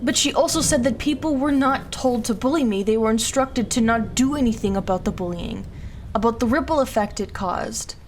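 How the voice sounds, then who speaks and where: A woman's voice: on the high side, normal speed, a little hiss or hum, natural voice. A woman playing a hurting, self-loathing but defiant young outcast daughter in an audio roleplay, speaking in an emotional, pleading way. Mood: hurt, despairing. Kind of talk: naturalistic audio roleplay acting.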